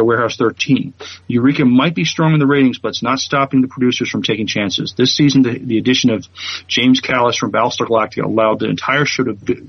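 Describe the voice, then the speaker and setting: A man co-hosting a podcast, reading news and chatting in a halting way, stumbling over words. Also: medium pitch, slightly rough, no room sound, lo-fi sound. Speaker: podcast co-host.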